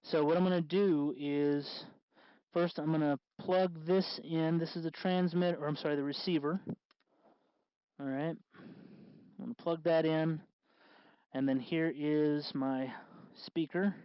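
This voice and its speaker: A man hosting a casual hands-on DIY tutorial, explaining in a halting, unscripted way, with slightly muffled sound. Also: fairly high pitch, steady tone, clear, resonant tone, no room sound. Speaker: host of DIY electronics tutorial.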